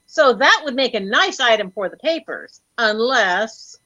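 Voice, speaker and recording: An actress playing a shrewd, assertive young wife in an old radio comedy, brisk, insistent and skeptical. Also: female voice, on the high side, lively intonation, pushed and bright, no echo, full character voice. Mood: shrewd, firm. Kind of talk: 1940s radio comedy acting. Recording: dull old radio recording.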